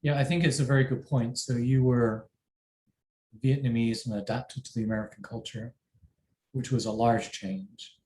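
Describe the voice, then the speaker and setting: A middle-aged man with an English accent, a parent answering interview questions in a thoughtful, measured way. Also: medium pitch, steady tone, warm tone. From England. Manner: thoughtful, measured, self-deprecating. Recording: roomy with faint ambient noise.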